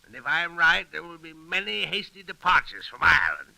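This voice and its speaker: A man's voice: fairly high pitch, big pitch swings, a little fast, creaky, bright, forceful tone, heavily acted, rough recording. An actor playing a shrewd, confident clergyman with dry, theatrical self-assurance in a 1930s radio drama. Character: shrewd, confident clergyman scheming against the underworld. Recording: vintage 1930s radio broadcast.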